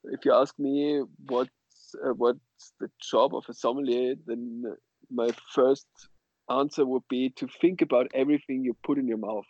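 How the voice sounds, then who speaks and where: An Austrian-accented man, a native German speaker, chatting casually as an interview guest in a halting way over a dull remote connection. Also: medium pitch, fairly even intonation, a little mellow, no echo. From western Austria. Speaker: guest, sommelier and wine bar co-owner.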